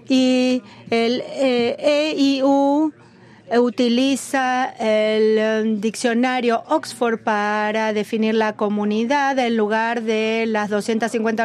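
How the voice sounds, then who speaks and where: Higher-voiced woman interpreting a meeting. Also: a little mellow. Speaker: simultaneous interpreter at a policy meeting. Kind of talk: simultaneous interpretation of a formal meeting.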